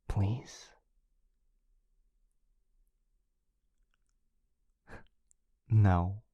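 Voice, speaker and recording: A man voicing a shy, affectionate dog-person boyfriend in an ASMR roleplay, speaking softly and hesitantly, often close to a whisper, right up on the microphone. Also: low-pitched, dry recording, heavily acted. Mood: tender, shy. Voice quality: soft, breathy, often dropping into whisper. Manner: hesitant, tender, intimate. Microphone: close microphone in a dry space.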